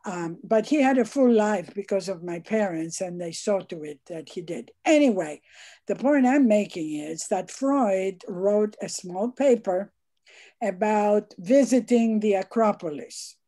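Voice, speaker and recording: An older woman who grew up in Greece speaks earnestly on a video call, her voice warm and mellow. She pushes her points with rising emphasis, heard through a headset from a book-lined home study. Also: mid-range voice, animated, measured pace. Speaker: psychiatrist commenting in Q&A. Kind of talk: online seminar comment.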